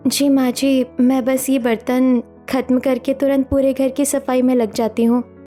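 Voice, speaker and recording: A woman's voice: high voice, level tone, measured pace, dark tone, faint music behind. A woman playing a meek, obedient young village daughter-in-law in a cartoon story, speaking submissively. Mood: dutiful. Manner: submissive, pleading. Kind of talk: dramatic Hindi cartoon story acting.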